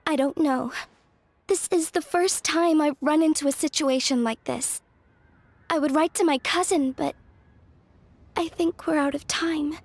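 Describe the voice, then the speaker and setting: Brief: composed game heroine, light high voice, level and polite. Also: female voice, a little slow, pauses often, darkish tone. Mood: uncertain. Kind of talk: video game cutscene voice acting.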